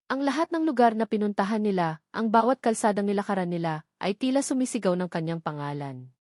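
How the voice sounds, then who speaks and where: A woman's voice: medium pitch, expressive, a little fast, a little mellow, no room sound, studio quality. A woman with a Filipino accent reads a scripted romance story as its narrator, in a dramatic storytelling manner.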